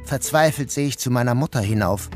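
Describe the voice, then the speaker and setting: A man's voice: mid-range voice, brisk, darkish tone, natural voice. Man acting as grave narrator over music. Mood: grave, intense. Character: troubled male first-person narrator recounting a dreamlike ordeal. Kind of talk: first-person audio drama narration.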